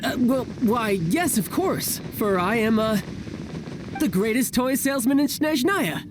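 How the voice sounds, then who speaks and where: A man's voice: very high voice, lively intonation, unhurried, background noise. An actor voicing a suave, cocky young man in a video game, with a polished, theatrical, smug manner. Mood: flustered. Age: young adult. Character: suave, cocky young man, a secretive agent posing as salesman.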